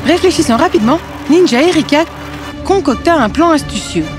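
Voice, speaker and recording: A woman narrates a children's fairy tale from a script. Her voice is high and expressively varied, with music clearly underneath. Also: lively intonation, a little fast.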